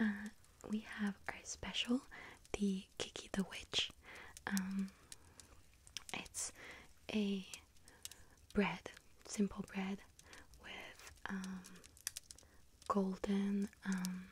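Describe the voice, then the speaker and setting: Slow, whispering young witch played by a woman. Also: mid-range voice, monotone, close to an everyday voice. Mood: sheepish, friendly. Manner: hesitant, apologetic, gently chatty. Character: friendly, scatterbrained young witch working in a bakery. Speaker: ASMR roleplayer serving a customer. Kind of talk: ASMR roleplay, soft whispering.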